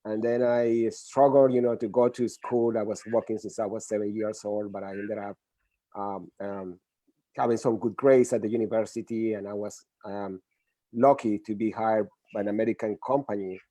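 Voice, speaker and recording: Dark-voiced man with a Colombian accent. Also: fairly low pitch, steady tone, normal speed, dry recording. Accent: Colombian Spanish. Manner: earnest, many restarts and fillers. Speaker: guest expert. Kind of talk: conversational interview.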